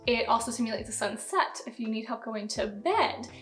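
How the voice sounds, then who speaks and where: Young woman, late twenties, chatty upbeat vlogger, slightly high voice. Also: animated, normal speed, a bit bright. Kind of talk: casual chat to camera. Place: home, sitting down.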